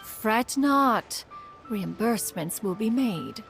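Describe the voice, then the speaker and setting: Dignified, formal game auntie. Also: female voice, fairly high pitch, lively intonation, slow pace, gravelly, over loud music, exaggerated voice. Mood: composed. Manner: formal, stately phrasing. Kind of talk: video game voice acting.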